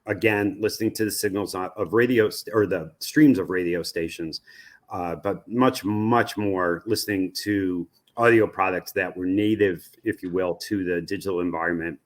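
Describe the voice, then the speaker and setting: An American man giving explanatory interview answers as an expert guest, measured and often halting to rephrase himself. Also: deep voice. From United States. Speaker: interview guest, research company president.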